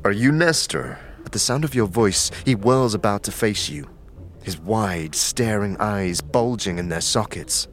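A man giving steady, descriptive second-person narration for a fantasy audio drama. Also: lowish voice, unhurried. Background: music.

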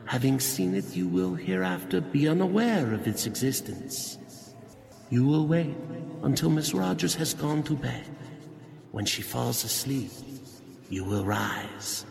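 An actor playing a sinister cult leader in a 1940s-style radio drama, commanding and falsely courteous as he hypnotizes with deliberate speech. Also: medium pitch, lively intonation, gravelly, darkish tone, big-room sound, full character voice. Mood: commanding, menacing.

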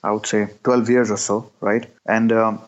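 A man in his thirties from Pakistan, a guest answering interview questions with many pauses, fillers and restarts. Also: on the low side, flat delivery. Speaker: guest, entrepreneur. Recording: dull lo-fi sound.

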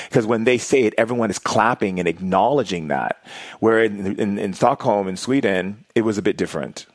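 Effusive low-voiced man, dry recording. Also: dry recording. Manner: effusive, repeats phrases for emphasis. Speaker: interview guest. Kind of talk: conversational personal reflection.

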